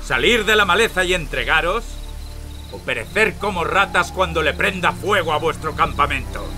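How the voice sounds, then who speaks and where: An actor playing a gloating sheriff, taunting and menacing in a theatrical audio drama. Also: male voice, fairly high pitch, moderate pace, pushed and bright, faint music behind. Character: gloating, sneering villain sheriff hunting outlaws. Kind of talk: dramatized audio fiction acting.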